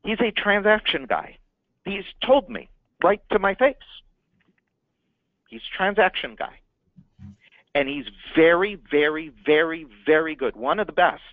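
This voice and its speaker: A man's voice: fairly high pitch, animated, unhurried, long pauses, dry recording, narrow, tinny audio. Man teaching business marketing, emphatic and repetitive. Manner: emphatic, repeats words for stress. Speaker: marketing consultant. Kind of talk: business training talk.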